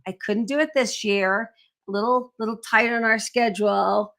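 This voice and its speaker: A woman's voice: on the high side, steady tone, normal speed, a bit bright. Froggy-voiced woman co-hosting live sale. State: froggy, cracking voice from allergies. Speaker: co-host of live shopping show. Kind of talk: casual live-stream chat.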